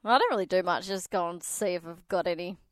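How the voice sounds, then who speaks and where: A woman, co-hosting a casual radio chat, laughing easily and teasing back quickly. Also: on the low side, dry recording, studio quality. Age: 30s. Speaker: radio co-host.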